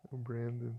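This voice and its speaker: A man's voice: mid-range voice, vocal fry, breathy edge, dry recording, narrow, tinny audio. A Brazilian man from Paraná streaming a game live, chatting casually with viewers and chuckling often.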